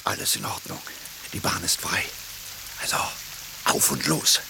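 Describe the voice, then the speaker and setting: An actor playing a scruffy, cocky, optimistic adventurer on the run in a radio play, chummy and given to boastful rambling. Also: male voice, low voice, animated, measured pace, creaky, background noise, heavily acted. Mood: confident.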